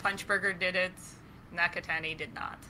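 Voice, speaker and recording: A woman's voice: fairly low pitch, steady tone, moderate pace, sharp tone, a little hiss or hum. A woman from Canada, co-hosting a podcast in casual chat, talking freely and laughing often. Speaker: podcast co-host.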